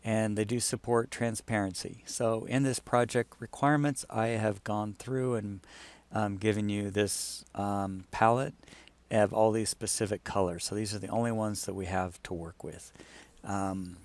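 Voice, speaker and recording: Low-pitched man giving a casual walkthrough. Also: steady tone, a little slow, darkish tone, no echo. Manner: informal, thinking aloud as he demonstrates. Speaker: client explaining a design project. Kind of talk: casual screen-share walkthrough.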